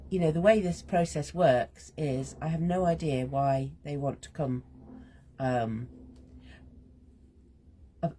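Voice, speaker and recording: A woman with a gentle, husky, slightly strained voice, musing aloud slowly with pauses as she hosts a reflective creative session while drawing. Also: sits low. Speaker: host of a creative healing art session.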